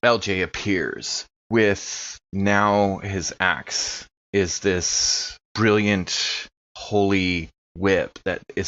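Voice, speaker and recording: A man playing a tabletop game, improvising vivid descriptions in a halting storytelling manner, on a slightly muffled recording. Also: sits low, a bit bright. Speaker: player in a tabletop game podcast.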